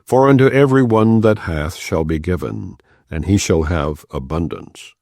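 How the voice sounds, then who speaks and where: A man reading scripture aloud in a measured, solemn manner. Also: deep voice, lively intonation, darkish tone, dry recording, studio quality. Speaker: Bible reader.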